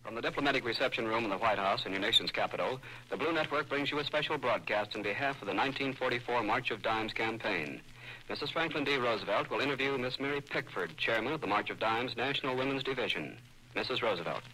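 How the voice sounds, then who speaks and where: A man making a formal 1940s radio network announcement in a crisp announcer's manner. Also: medium pitch, quick pace, bright, forceful tone, poor audio. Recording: vintage radio broadcast.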